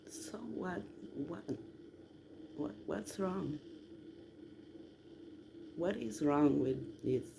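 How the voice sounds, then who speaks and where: Slow, gravelly man. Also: a little high, animated. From southern Italy. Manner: halting, drawn-out delivery with emphatic questions. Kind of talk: casual rambling monologue. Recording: noticeable background noise.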